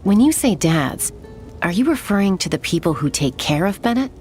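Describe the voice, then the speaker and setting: A woman voicing a calm, reserved, thoughtful young woman in an anime-style game, with a measured manner. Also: mid-range voice, faint music behind, natural voice. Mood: reserved, curious.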